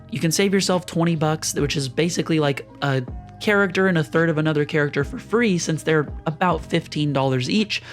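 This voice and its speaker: A young man with a slightly hoarse, rough voice from a sore throat, casually walking viewers through board game choices in a chatty, explanatory way. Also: a little high. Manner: chatty, explanatory, uses lots of fillers. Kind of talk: casual screen-share walkthrough.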